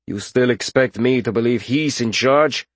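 A man's voice: mid-range voice, moderate pace, dry recording, natural voice. A man voicing a suspicious bearded mercenary leader in a fantasy audiobook, blunt, folksy and challenging. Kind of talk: audiobook fantasy character acting.

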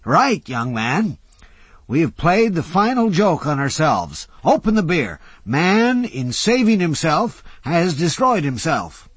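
A man's voice: on the high side, sing-song, slow pace, slightly rough, no room sound, voice pushed up, heavily acted. A man doing a gleeful doomsaying old man. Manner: grandiose, declaiming, gleeful. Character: cranky old man prophesying mankind's doom with glee.

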